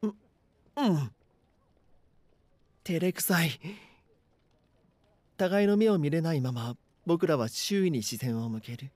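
A man's voice: fairly high pitch, slow, pauses often, a little mellow, no room sound. Schoolboy lead for an eerie voice drama, male actor: nervous, bashful, earnest first-person narration, recorded close and dry. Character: anxious, bashful schoolboy narrating his own eerie story.

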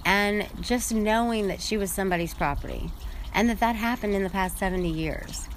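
A woman telling a family story in an earnest, halting way, with many fillers. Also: medium pitch, slight background noise, low-quality recording. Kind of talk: casual spoken storytelling.

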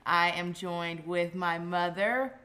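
Bright-voiced bubbly woman podcast host. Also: on the low side, slow pace. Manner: bubbly, laughing, chatty with fillers. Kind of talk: casual podcast chat.

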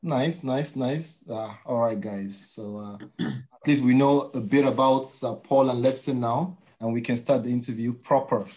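A man's voice: medium pitch, fairly even intonation, moderate pace, a little mellow. Male interview host, mid-range voice, casual and conversational.

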